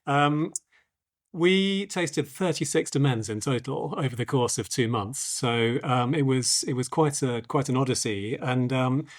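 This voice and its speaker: A man with a croaky voice co-hosting a wine podcast, talking through tastings in a relaxed, hesitant way with frequent ums. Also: medium pitch, measured pace. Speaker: wine merchant podcast co-host. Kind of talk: casual expert discussion.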